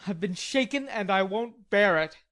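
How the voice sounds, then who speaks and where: A woman reading an audiobook, voicing a stubborn, hot-tempered young gentleman in indignant outbursts. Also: fairly high pitch, moderate pace, voice pushed up, close to an everyday voice. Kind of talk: audiobook character voice.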